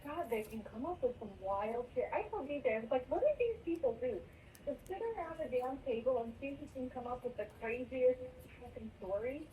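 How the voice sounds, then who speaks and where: A woman's voice: high voice, animated, brisk. A woman chatting casually on a jail phone call, incredulous, scoffing and swearing freely. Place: phone call played in courtroom. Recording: noisy jail phone call, played back distantly.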